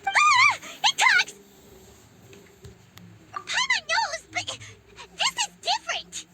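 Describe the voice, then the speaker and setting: Super high squeaky little fairy girl, talks about herself in third person, pitch jumps everywhere. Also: very animated, very slow, very noisy, full character voice. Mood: excited. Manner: squeaky, exclamatory, speaks of herself by name. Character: small floating female fairy companion, excitable. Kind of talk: video game dialogue. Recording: loud game music behind.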